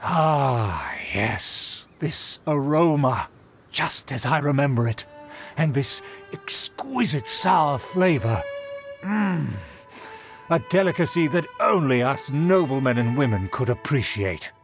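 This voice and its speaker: A man's voice: mid-range voice, sing-song, takes it slowly. An actor playing a haughty, pompous nobleman in a video game, speaking in a formal, grand manner. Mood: savoring. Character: pompous haughty aristocrat, proud of his noble clan. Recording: music playing behind the voice.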